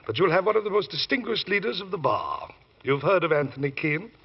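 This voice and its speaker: Husky, avuncular English solicitor. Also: male voice, a little high, lively intonation, fairly quick, heavily acted. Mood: soothing, brisk. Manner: avuncular, proper, swooping emphasis. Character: fussy, reassuring older English male solicitor, avuncular. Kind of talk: 1940s radio acting. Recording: muffled old radio recording.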